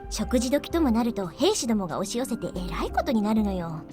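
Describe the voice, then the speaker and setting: An actress playing a brisk, bossy, cheerful female military officer in a Japanese visual novel game. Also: fairly high pitch, sing-song, over music.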